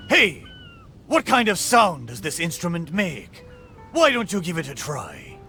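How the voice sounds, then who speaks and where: A man's voice: fairly high pitch, wildly swooping pitch, a little slow, pushed and bright, exaggerated voice. A man playing a sentimental talking shipwreck in a video game, speaking like a theatrical old pirate sailor with a stage pirate brogue. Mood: eager, curious. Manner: theatrical, hearty, archaic sailor phrasing. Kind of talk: video game character acting. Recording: game music behind the voice.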